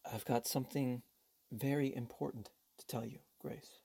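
A woman playing a defeated, weary husband in a radio drama, husky, grave and quietly resolute. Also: fairly even intonation, moderate pace, crowd noise. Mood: subdued. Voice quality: low, husky.

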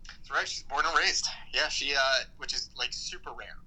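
A man's voice: medium pitch, moderate pace, sharp tone, poor audio. A young American man speaking casually and informally as an interview guest, in a rambling, conversational way. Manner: rambling, informal, frequent "like" and swearing. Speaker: podcast guest, BMX rider.

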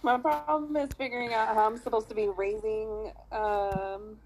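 A woman's voice: a little high, steady tone, normal speed, a little mellow, a little hiss or hum, rough recording. A woman speaking earnestly and reflectively in a casual chat among friends.

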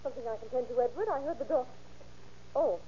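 A woman's voice: sits high, fast, natural voice. An actress playing a startled, nervous woman neighbour in an old radio drama. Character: startled, anxious female neighbour. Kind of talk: 1930s-40s radio drama acting. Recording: noisy, muffled old radio recording.

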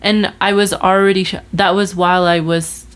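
A woman's voice: mid-range voice, little pitch movement, normal speed, clear, resonant tone. A woman in her twenties chatting casually about her resolutions, telling a story with lots of fillers and restarts. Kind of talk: casual chat.

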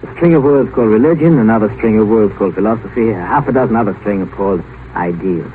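An actor playing a brash, cynical doctor and researcher, breezy, sardonic and domineering in a 1950s radio drama style. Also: male voice, lowish voice, quick pace, lightly acted. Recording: noisy, tinny old 1950s radio broadcast.

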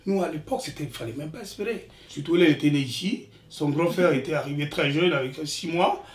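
A man in his early sixties from the Republic of the Congo, telling his life story in a relaxed interview, animated and full of restarts. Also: a little high, fast. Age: 60s. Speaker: interviewee, father. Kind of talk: casual interview talk. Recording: echoey room, distant mic.

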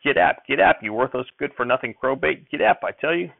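Male narrator as hot-tempered old farm grandfather, gravelly, rustic old-time talk, storybook scolding, thin radio-like sound. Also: medium pitch, racing, no room sound, narrow, tinny audio, voice pushed up. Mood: yelling, exasperated.

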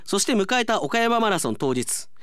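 A man's voice: sits high, very animated, normal speed, a bit bright, clear, resonant tone, dry recording, studio quality. A man hosting a radio segment, chatting and reading out listener mail as an announcer.

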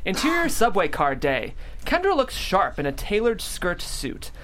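A narrator reading stage directions at a script table read in an expressive, amused way. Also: medium pitch, normal speed, slight background noise.

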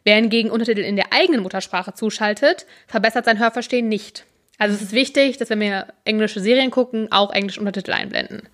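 A young woman in casual conversation, explaining at length and pausing often on fillers. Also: mid-range voice, fairly bright tone. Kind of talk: casual chat about subtitles and series.

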